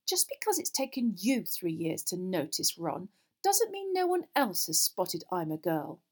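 A woman voicing a haughty, sharp-tongued teenage schoolgirl with a lofty, acid manner in an audiobook. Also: lowish voice, sing-song, a little slow, full character voice. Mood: angry, acid. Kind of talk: children's fantasy audiobook dialogue.

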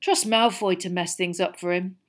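A woman's voice: lowish voice, fairly even intonation, moderate pace, lightly acted. A woman voicing a worried, gloomy but loyal schoolboy in an audiobook, speaking colloquially. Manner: glum, colloquial.

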